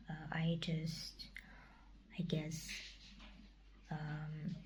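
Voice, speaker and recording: Young woman, whispered vlog, mellow, flat delivery, hesitant. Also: low voice, a little hiss or hum, low-quality recording. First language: Kazakh. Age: young adult. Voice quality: breathy whisper. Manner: whispered, hesitant, searching for words. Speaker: vlogger. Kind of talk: casual personal vlog.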